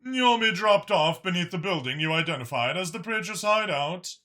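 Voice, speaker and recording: A man's voice: sits high, animated, moderate pace, sharp tone, voice pushed up. Man voicing a composed, dry-witted alien ally. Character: composed, dryly witty alien ally giving orders over comm.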